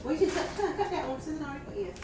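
A woman's voice: high voice, rapid-fire, far from the mic, heavy background noise. A woman hosting a home cooking vlog, chatting casually and laughing as she cooks and talks to viewers. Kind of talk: casual kitchen chat. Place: home kitchen. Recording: roomy kitchen sound from off-mic, music over it.

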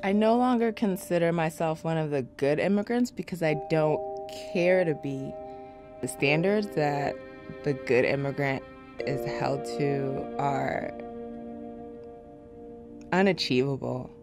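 A woman from Nigeria answering interview questions in a measured, deliberate way. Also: low voice, music in the background.

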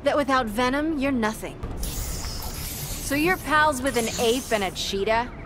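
A young, earnest superheroine speaks for a video game. Her high voice is confident and sassy, quick with a defiant quip, with clear music behind it. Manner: quippy, confident. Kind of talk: video game character acting. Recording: music under the voice.

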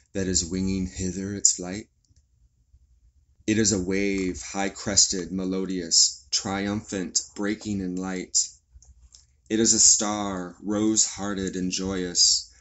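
A man reading poems aloud in a solemn, deliberate way. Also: low-pitched, lo-fi sound. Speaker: poetry reader. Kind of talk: slow poetry recitation.

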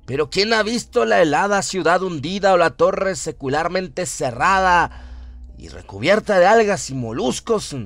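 A man giving a solemn, theatrical narration of a horror radio drama, with deliberate phrasing. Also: high voice, lively intonation, fairly bright tone, dry recording. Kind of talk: dramatic scripted horror narration, audiobook-like reading. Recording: music under the voice. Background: atmospheric music bed.

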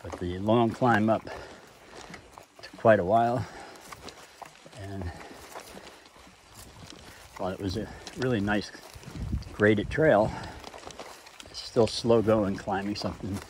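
A man's voice: on the low side, fairly even intonation, slow pace, long pauses, a little mellow, a little hiss or hum. A man thru-hiking and vlogging casually as he walks a forest trail, speaking plainly with dry humor and thinking aloud. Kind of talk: casual vlog narration while hiking. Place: foggy forest trail outdoors. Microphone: body-mounted camera.

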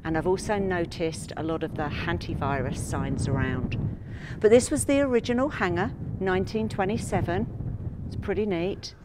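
A woman's voice: fairly low pitch, normal speed, noisy background. A woman casually narrating a visit to an old airport outdoors. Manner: chatty, curious, wondering aloud. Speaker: travel vlogger.

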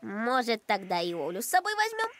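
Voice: medium pitch, wildly swooping pitch, brisk, music in the background. A performer voicing a kind, sensible little boy in a children's cartoon, earnest and friendly. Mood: reasonable. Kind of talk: animated children's cartoon acting.

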